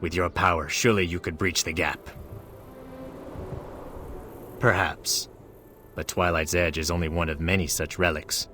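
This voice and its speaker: Very deep, grave divine being. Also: male voice, steady tone, sharp tone, faint music behind. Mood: grave, earnest. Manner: measured, formal, grave. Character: solemn, regretful male divine being, grave and formal. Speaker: game character voice actor. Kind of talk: scripted game dialogue.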